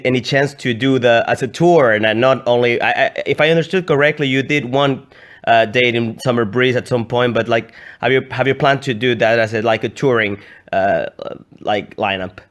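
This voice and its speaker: A man from Chile with a Chilean accent, interviewing a musician in a friendly, hesitant way. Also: mid-range voice. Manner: friendly, hesitant phrasing with restarts. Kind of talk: casual interview questions.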